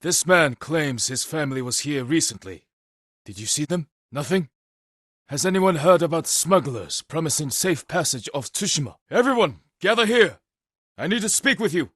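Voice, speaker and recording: An actor playing a stern, honorable samurai warrior in a video game, with a husky voice and a measured, terse, commanding manner. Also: male voice, on the high side, expressive, a bit bright, dry recording, clean studio sound. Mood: firm, demanding, then shouting, urgent. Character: stern honorable adult male samurai warrior, dutiful and weary. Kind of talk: video game character voice lines.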